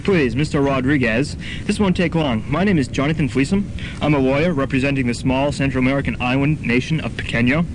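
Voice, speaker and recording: A man's voice: fairly quick, lightly acted. A man playing a formal, long-winded lawyer with a declamatory delivery in a student radio comedy. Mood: formal, insistent. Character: formal, long-winded male lawyer for tiny island nation. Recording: noisy student radio recording with rumble.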